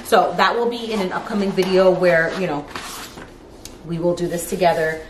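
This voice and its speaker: A woman's voice: fairly low pitch, steady tone, moderate pace. A woman in her thirties chatting casually to camera as a lifestyle vlogger, informal and peppered with "like", recorded in a living room. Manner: chatty, informal, frequent "like" as filler. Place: living room of an apartment, indoors. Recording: roomy echo, clear background noise.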